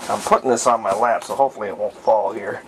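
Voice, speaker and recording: A man's voice: fairly low pitch, fairly even intonation. Man thinking aloud, background noise. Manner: thinks aloud in short, halting phrases. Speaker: hobbyist demonstrating antique radio repair. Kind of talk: casual hands-on narration while working. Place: room at home, indoors.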